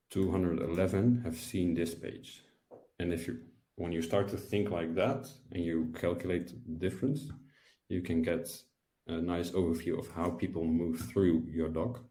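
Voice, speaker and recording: A young man presenting an explanatory software tutorial in a webinar over a video call. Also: low-pitched. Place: indoor room, video call. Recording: roomy echo.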